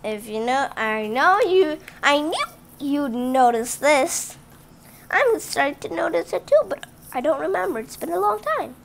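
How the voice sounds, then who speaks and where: A female host reads a picture book aloud to children, her voice pitched high and swinging widely up and down. She takes her time, trips and restarts on words, and breaks off to talk directly to the kids. It sounds recorded on a phone held over a table at home. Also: big pitch swings, creaky, a little hiss or hum. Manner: halting reading with restarts and chatty asides to the kids. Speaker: read-aloud channel host.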